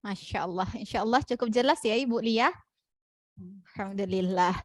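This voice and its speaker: A woman's voice: medium pitch, normal speed, no room sound, clean studio sound. A woman with an Indonesian accent moderating questions in an online class.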